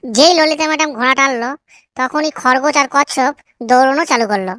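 A woman's voice: sits high, fairly quick, bright, forceful tone, no echo, clean studio sound. A woman voicing an eager young village pupil reciting in a comedy cartoon.

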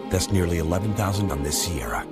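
A deep-voiced man delivers a brisk dealership sales pitch. Loud music plays beneath him. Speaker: ad voice-over. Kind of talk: car dealer commercial read.

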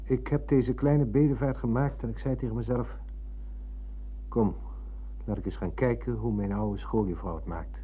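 Radio drama: actor as courteous, wistful former pupil, husky mid-pitched voice, narrow vintage sound. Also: male voice, normal speed, lightly acted. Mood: polite, nostalgic. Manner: courteous, reflective. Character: nostalgic, polite former pupil visiting his old teacher. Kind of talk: radio play acting. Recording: muffled, lo-fi old radio recording.

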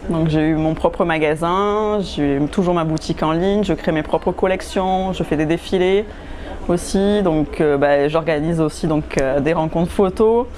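This woman in her forties speaks in a somewhat low voice. She talks in a lively way but often stops to hesitate. A lapel mic records her in a greenhouse, with faint noise behind her. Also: normal speed. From England, West Yorkshire. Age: 40s. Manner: lively, hesitant, with many fillers. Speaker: fashion designer and knitter. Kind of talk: casual interview answers. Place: glass greenhouse, indoors.

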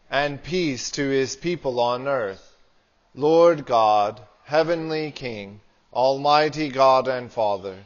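Slow, solemn male priest. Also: medium pitch, muffled recording. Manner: solemn, measured, deliberate phrasing. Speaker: priest leading a church service. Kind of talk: liturgical prayer and scripture reading. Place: small church with hard walls.

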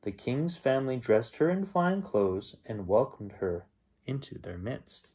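Animated middle-aged man reading a bedtime story. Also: medium pitch, unhurried, breathy edge. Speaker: children's bedtime story reader, host. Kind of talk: expressive read-aloud storytelling. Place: small bedroom at home, indoors.

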